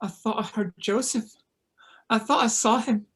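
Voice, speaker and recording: A woman's voice: fairly high pitch, fairly even intonation, normal speed, breathy edge. A woman playing a frightened, distraught mother in a table read. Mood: distraught, scared. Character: Emma, frightened distraught young mother searching for children.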